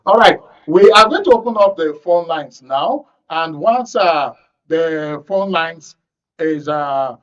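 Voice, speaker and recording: A man's voice: on the high side, lively intonation, slow. A man with a Nigerian accent presenting a live radio talk show as its host. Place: radio studio. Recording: distant, slightly muffled studio audio.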